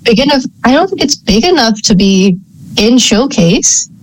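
A young woman from Thailand co-hosting a relaxed talk show. She is chatty and wry, with a teasing edge to how she talks. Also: on the high side, normal speed, slightly rough, rough recording. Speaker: podcast co-host. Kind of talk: casual chat.

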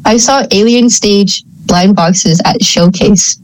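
A woman from Thailand co-hosting a casual podcast over a remote call, chatty and playful, prone to quick side tangents. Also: medium pitch, dry recording, low-quality recording. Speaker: podcast co-host. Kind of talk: casual chat.

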